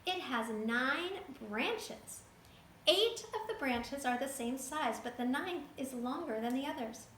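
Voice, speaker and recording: A woman voicing a foolish, excitable village man in a storybook reading. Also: high-pitched, slow, echoey room, slight background noise, exaggerated voice. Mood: excited.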